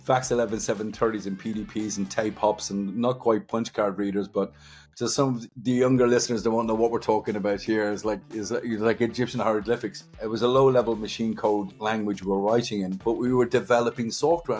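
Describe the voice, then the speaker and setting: Deep-voiced Dublin man, chatty and emphatic. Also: darkish tone. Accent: Irish, Dublin. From Dublin, Ireland. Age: 50s. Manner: chatty, emphatic word repetition. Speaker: guest, technology entrepreneur. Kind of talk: conversational interview, recounting own career.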